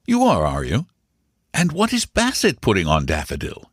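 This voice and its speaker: A man's voice: fairly low pitch, wildly swooping pitch, moderate pace, breathy edge, no room sound, clean studio sound, pitched above the performer's own voice, heavily acted. A man voicing an amused, indulgent, jovial uncle in a storybook. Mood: teasing. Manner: jovial, teasing. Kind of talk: storybook character dialogue.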